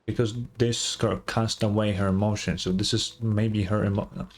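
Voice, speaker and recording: A woman's voice: a bass voice, fairly even intonation, normal speed. A woman streamer giving casual reaction commentary on a game story, musing and thinking aloud.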